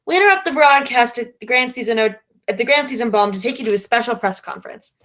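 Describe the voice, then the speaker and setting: A woman's voice: fairly high pitch, quick pace, fairly bright tone, close to an everyday voice. A woman playing an exasperated, melodramatic announcer in a spoof old-time radio broadcast. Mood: solemn, apologetic.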